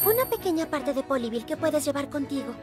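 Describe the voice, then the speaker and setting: A woman voicing an upbeat, confident girl leading her friends in an animated cartoon, eager and encouraging. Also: high-pitched, fast. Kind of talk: animated cartoon dub acting. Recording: music bed behind the voice.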